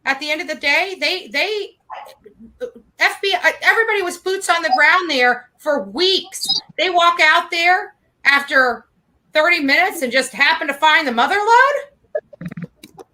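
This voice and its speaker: A woman's voice: very high-pitched, expressive, measured pace, sharp tone, low-quality recording. A woman giving unscripted online commentary, explaining and justifying herself in an insistent way.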